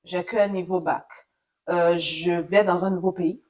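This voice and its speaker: A woman's voice: fairly low pitch, steady tone, unhurried, a little mellow. A woman born in Italy, an Italian speaker, telling her career story as a radio journalist in an interview, thoughtful with many fillers.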